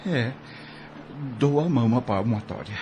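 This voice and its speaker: A man's voice: mid-range voice, animated, unhurried, warm tone, slight background noise. Earnest, humbled male friend. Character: shaken male sceptic, humbled and conceding to friend. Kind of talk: audio drama acting.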